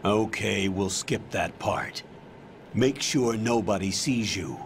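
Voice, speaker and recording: Stern, low-voiced military commander, older. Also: male voice, creaky, slight background noise. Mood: instructive, measured. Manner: authoritative, measured, matter-of-fact. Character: stern older male military commander briefing his agent. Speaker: commanding officer giving mission briefing. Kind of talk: video game cutscene voice acting, radio briefing.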